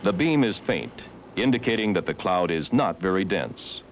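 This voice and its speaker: A man narrating a scripted educational film in a measured, formal, instructional manner on a 1970s soundtrack. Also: sits low, big pitch swings, a little hiss or hum, rough recording. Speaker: documentary narrator.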